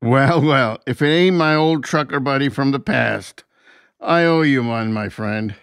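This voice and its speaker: A resonant, clear male voice plays a folksy old buddy in an audiobook drama. He is familiar and chummy, recorded dry. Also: mid-range voice, measured pace, fairly bright tone, no echo. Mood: pleased, chummy.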